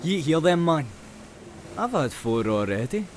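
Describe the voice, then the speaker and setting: An actor playing a cheeky, hearty, teasing soldier in an audio drama. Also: male voice, fairly high pitch, sing-song, normal speed, slight background noise. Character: cheeky, hearty soldier relishing army grub.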